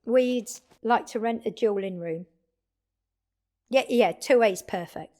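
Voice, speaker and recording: A woman playing a brash, excitable undead vampire in an audio drama, talking casually and expressively straight to the listener with salty language and dramatic pauses. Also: a little high, no echo. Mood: casual, upbeat. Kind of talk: intimate audio drama roleplay addressed to listener.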